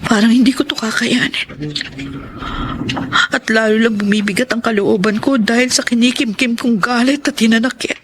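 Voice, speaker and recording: An actress playing a betrayed, jealous wife, heartbroken and bitter yet still in love, in an intense, emotional radio drama style. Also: female voice, fairly high pitch, fairly even intonation, moderate pace, a little hiss or hum, lightly acted. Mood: anguished brooding. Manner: emotional, intense, anguished delivery. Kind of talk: melodramatic Tagalog radio drama acting.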